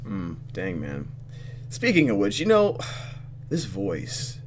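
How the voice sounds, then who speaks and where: A young man hosting a let's play, reading story text aloud and commenting casually in an animated, conversational way. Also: on the low side, takes it slowly, background noise.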